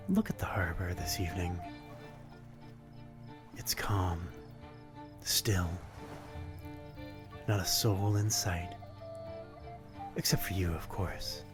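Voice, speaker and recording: A man playing a courtly, melancholy vampire stranger in an intimate ASMR roleplay, speaking in a formal, old-fashioned, gently wistful way. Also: deep voice. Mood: soothing, inviting.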